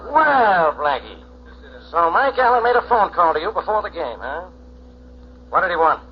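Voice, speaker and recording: An actor playing a gruff, sarcastic police inspector who barks questions, in 1940s radio drama style. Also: male voice, high voice, big pitch swings, moderate pace. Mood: sarcastic, bullying. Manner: barking, interrogating. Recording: dull, hissy 1940s radio recording.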